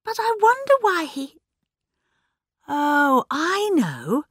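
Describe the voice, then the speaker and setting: A woman's voice: sits high, wildly swooping pitch, slow pace, a bit bright, no echo, studio quality, voice pushed up, exaggerated voice. A woman voicing a flustered, apologetic older female companion who chatters and dithers in a storybook reading. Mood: muddled, wondering. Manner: breathless, dithering, rambling.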